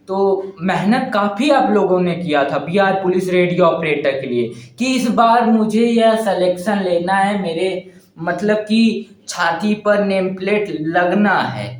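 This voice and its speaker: A young man addressing exam candidates directly, emphatic and repeating phrases for effect. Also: high-pitched. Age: young adult. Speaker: exam preparation vlogger. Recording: roomy, echoing indoor sound.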